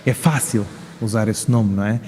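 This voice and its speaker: A man's voice: on the low side, steady tone, normal speed, warm tone, slight background noise. A man from Portugal with a European Portuguese accent, speaking as a community leader in an interview, articulate and emphatic with rhetorical questions. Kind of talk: interview answers. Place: large reverberant room in a mosque. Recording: hall-like long reverb.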